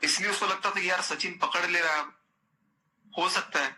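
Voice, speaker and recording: A man's voice: high-pitched, normal speed, sharp tone. A man in a personal phone conversation, speaking insistently and repeating his phrases. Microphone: phone call. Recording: recorded phone call with faint noise.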